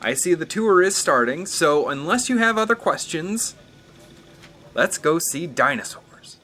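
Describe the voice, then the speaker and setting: A man playing a brisk, businesslike park tour guide in an audio drama. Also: a little high, lively intonation, pushed and bright, slight background noise. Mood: brisk, directing. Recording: music under the voice.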